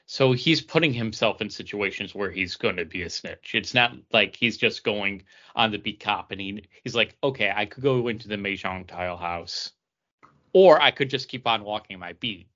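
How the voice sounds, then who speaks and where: Bright, forceful man in casual discussion. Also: fairly low pitch, dull audio. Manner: halting, repeating and restarting phrases. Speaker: podcast co-host reviewing comic books. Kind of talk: casual chat.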